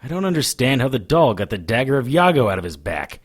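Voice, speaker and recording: An actor playing a gruff, sardonic occult investigator in a noir audio drama, wry and grumbling. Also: male voice, mid-range voice, very animated, fast talker. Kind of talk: audio drama acting with noir first-person narration.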